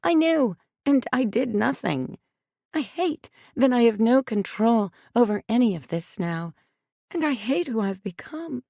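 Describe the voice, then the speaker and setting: A woman reading voices a distraught, tearful middle-aged mother whose words come out high and strained. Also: lively intonation, normal speed, warm tone, husky, no room sound, clean studio sound. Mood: anguished.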